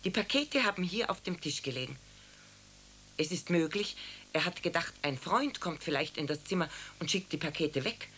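A woman's voice: fairly low pitch, animated, normal speed, fairly bright tone, slight background noise. An actress playing a plain-spoken landlady in a radio drama, explaining things hesitantly. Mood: earnest. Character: plain-spoken landlady recalling her lodger.

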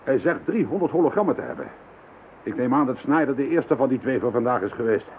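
A man's voice: mid-range voice, animated, fast talker, natural voice. A man playing a sceptical, matter-of-fact police inspector in an audio drama. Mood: grim. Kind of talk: Dutch audio drama acting. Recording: thin phone-like sound, faint noise.

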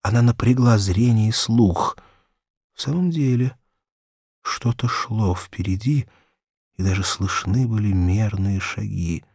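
A man narrating a children's story as an audiobook, theatrical and expressive, with dramatic pauses and emphasis. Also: fairly low pitch, breathy edge, no echo, studio quality.

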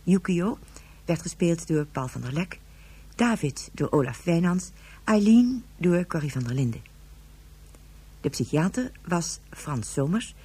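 A woman's voice: lowish voice, dark tone, slightly rough. A woman announcer formally reading scripted credits for a radio drama.

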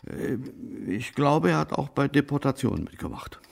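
An aged German former policeman with a fry-laden, mid-pitched voice. He justifies himself in a guarded, halting way, as radio drama dialogue, sidestepping blame. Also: animated. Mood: defensive. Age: older, about 80s. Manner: halting, evasive, defensive.

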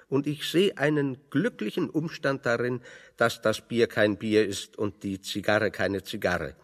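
A big, pedantic physicist in a radio play, with a lowish voice and long reverb around it. He sets out his dry irony very slowly, in ornate deadpan sentences. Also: exaggerated voice. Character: big, fat, pedantic physicist, drily ironic. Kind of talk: German radio drama dialogue.

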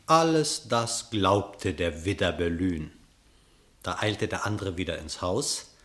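A man narrating a classic verse radio play in a measured storytelling way. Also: fairly low pitch, fairly bright tone.

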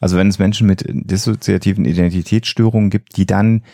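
A man's voice: deep voice, fairly even intonation, fast talker, mellow, dry recording, clean studio sound. A man, co-hosting a podcast and explaining things in an earnest, emphatic way. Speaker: podcast co-host.

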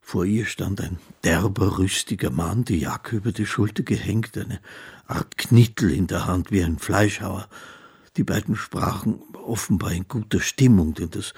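A man's voice: low voice, a little mellow, slightly rough, full character voice. An actor playing a timid, courteous musician who tells his story in a hesitant, formal, literary way. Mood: wistful, reflective. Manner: hesitant, halting, formally polite. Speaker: actor narrating as the title character. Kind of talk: literary radio drama, first-person narration and dialogue.

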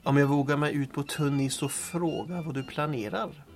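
An actor playing a wry, loyal but cautious sergeant sidekick in old-time radio drama style. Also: male voice, on the high side, steady tone, faint music behind, natural voice. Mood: wary. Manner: dry, wry, understated.

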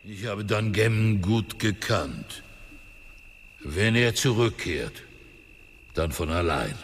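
A world-weary, scornful old hand in a fantasy radio play. He drawls slowly in a gravelly voice, dismissive, with long hall reverb around him. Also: on the low side, animated, slight background noise, exaggerated voice. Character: scornful, world-weary male veteran who knew the dark lord. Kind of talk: fantasy radio drama acting.

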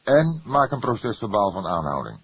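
A man voicing a grizzled veteran police detective in an audiobook, authoritative, deliberate and unyielding. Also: lowish voice, sing-song, a bit bright, husky, no room sound, voice pushed up, full character voice. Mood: commanding.

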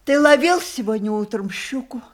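An actress playing a very old grandmother in a radio play, wise and sharp-tongued, with a measured, reflective delivery. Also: on the high side, lively intonation. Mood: teasing. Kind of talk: theatrical radio play acting.

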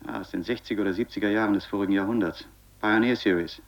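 An actor playing an uneasy, stubborn astronaut who reports tersely and haltingly over an intercom in a German science-fiction radio play. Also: male voice, lowish voice. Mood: grave, reporting. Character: uneasy, stubborn astronaut exploring a wrecked asteroid station. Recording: dull, lo-fi radio sound.

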